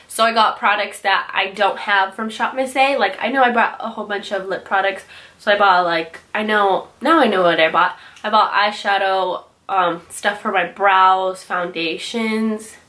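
A woman's voice: mid-range voice, fairly even intonation, a little slow, sharp tone. Chatty young woman, slightly nasal from a cold. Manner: chatty, rambling, self-correcting. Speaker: beauty vlogger. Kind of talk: casual haul video chat. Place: small bright bedroom with louvered closet doors.

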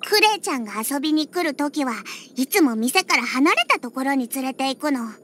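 A woman's voice: high-pitched, lively intonation, a little fast, a bit bright. A woman voicing a feisty little catgirl in an anime game, with a childlike, cutesy voice and a huffy, pouty manner. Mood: proud, earnest. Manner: pouty, huffy, with cat-like verbal tics. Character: feisty little catgirl bartender who hates alcohol. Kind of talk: Japanese anime game character acting. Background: background music.

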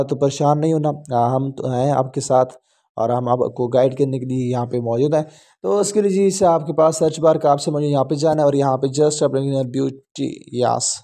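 A man's voice: on the high side, dark tone. A man hosting a phone tutorial, chatting along with many fillers. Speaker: tutorial host. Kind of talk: phone screen-recording tutorial.

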